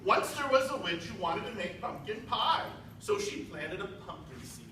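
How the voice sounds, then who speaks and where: An American man narrates a children's story as a school presenter. His voice is high and lively, with emphatic theatrical delivery, echoing from far off in a noisy hall. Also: normal speed. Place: large school multipurpose room, indoors. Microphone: distant camera.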